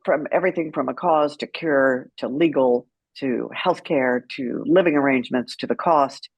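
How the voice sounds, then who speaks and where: A woman's voice: extremely low pitch, normal speed, gravelly, slightly rough, dry recording. A woman speaking as an interview guest, telling her story in a candid, outspoken and emphatic way. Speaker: guest, author and caregiver advocate.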